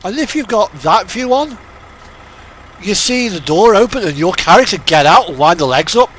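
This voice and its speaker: A man's voice: high-pitched, expressive, moderate pace, fairly bright tone. A man giving casual live commentary as he streams a game, chatting and thinking aloud.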